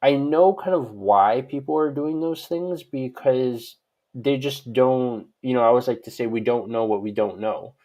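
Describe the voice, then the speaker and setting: A man from Hawaii speaks as a guest expert in an interview. His voice sits at a medium pitch. He talks informally and ramblingly, looping through explanations and checking in with "right?" as he goes. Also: moderate pace, warm tone, highs cut off. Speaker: guest expert on social media profiles. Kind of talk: conversational interview answers.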